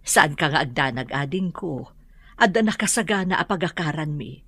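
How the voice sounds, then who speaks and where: A woman's voice: low voice, animated, normal speed, sharp tone, no echo, full character voice. A woman playing a humble, reassuring older mother in a radio drama, with a Filipino Ilocano accent. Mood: grateful, calm.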